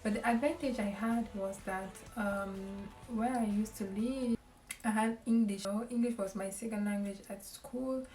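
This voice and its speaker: A young woman with a Cameroonian accent, giving casual advice to camera in a halting way, with lots of ums and restarts; she grew up speaking French. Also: a little high, fairly even intonation, a little mellow, soft background music. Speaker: vlogger. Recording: echoey room, voice a bit far from the mic.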